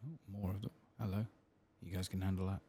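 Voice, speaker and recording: A man giving soft-spoken ASMR gameplay commentary, murmuring quiet asides and often slipping into a whisper. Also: deep voice, steady tone, brisk, a little hiss or hum. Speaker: host of a gameplay channel.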